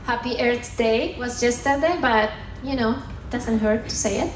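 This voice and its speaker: Woman, Colombian accent, slightly high voice, playful teasing stage talk, echoey hall, faint ambience. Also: fairly even intonation, unhurried, noisy background. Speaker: sustainability manager giving a comedy science talk. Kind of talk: stand-up style talk on stage. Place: theatre stage at a comedy festival.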